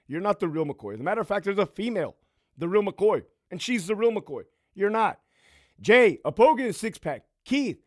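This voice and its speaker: Brash, profane man with a lively voice. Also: sits high, no echo, studio quality. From California. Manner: brash, profane, laughs often, streetwise slang. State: losing his voice. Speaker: YouTube host, former prison officer. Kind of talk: casual profane monologue, reading supporter names.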